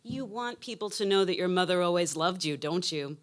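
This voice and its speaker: A woman's voice: lowish voice, animated, a little fast. A woman in her fifties reading a personal essay aloud with emotional, emphatic phrasing. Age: 50s. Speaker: essayist reading own work.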